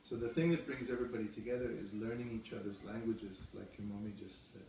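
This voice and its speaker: A middle-aged man calmly explaining things to his child during a home interview, restarting phrases as he thinks. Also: fairly low pitch, fast, vocal fry, a little hiss or hum. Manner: calm, explanatory, gently correcting himself. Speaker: interviewee, parent. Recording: echoey room, mic far off.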